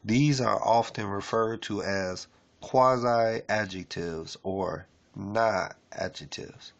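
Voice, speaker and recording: A man casually streaming as he works through a language lesson, rambling with fillers and reading aloud haltingly with restarts. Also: on the low side, gravelly, lo-fi sound. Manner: rambling, loose and unpolished, with many fillers. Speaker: self-taught learner reading a lesson. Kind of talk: casual screen-share stream.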